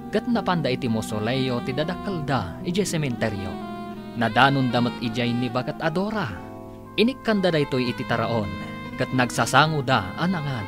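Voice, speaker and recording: A man narrating and announcing a radio drama in an announcer-like, lilting manner. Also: mid-range voice. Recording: music bed under voice.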